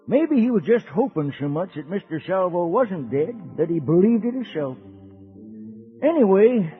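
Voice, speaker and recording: An American man playing a folksy old cowboy uncle, telling a radio serial to children in a rustic drawl with a husky voice. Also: sits high. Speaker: narrator. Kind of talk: 1950s radio serial storytelling. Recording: dull old radio recording.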